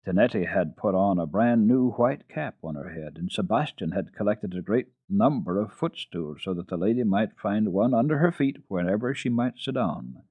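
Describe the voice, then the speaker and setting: A man narrating a classic children's story dramatically, in an expressive, measured storytelling manner. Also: on the low side, slightly rough, no room sound, narrow, tinny audio. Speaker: storyteller, children's radio program host.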